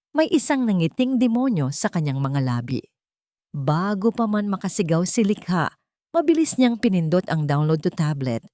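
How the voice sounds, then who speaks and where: Low-voiced woman narrating a dramatic story. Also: mellow, no room sound, studio quality. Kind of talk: scripted dramatic narration of a love and suspense story.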